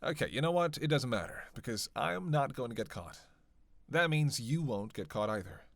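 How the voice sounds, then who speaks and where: A man's voice: medium pitch, animated, vocal fry, a bit bright, no echo. A man playing a witty, bloodthirsty vampire in a teasing roleplay monologue, sardonic and conversational. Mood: brisk, resolved. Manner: sardonic, playful, conversational with sighs and pauses. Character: teasing, witty, bloodthirsty male vampire, centuries-worn cynic. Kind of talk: flirtatious dark roleplay monologue to one listener.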